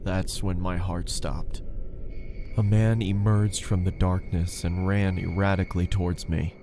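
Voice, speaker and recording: Low deep guy narrating a scary first person story, music playing behind him. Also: level tone, unhurried.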